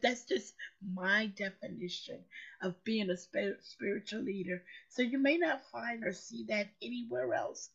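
Hoarse woman preaching slowly. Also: mid-range voice, fairly bright tone, muffled recording. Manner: preachy, emphatic, repeats phrases for effect. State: hoarse, recovering lost voice. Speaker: motivational show host. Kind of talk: slow motivational talk.

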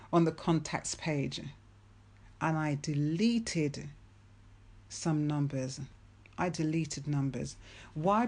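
A woman with a low, deep-set voice delivers a faith-based motivational talk. She speaks slowly and deliberately, repeating her key phrases with earnest emphasis. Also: darkish tone.

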